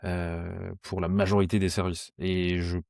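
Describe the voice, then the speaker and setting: Low-voiced guy coaching on sales, lots of ums and restarts, dry mic. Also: little pitch movement, a little slow, creaky, a little mellow, dry recording. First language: French. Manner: hesitant, many fillers and restarts. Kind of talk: casual podcast conversation.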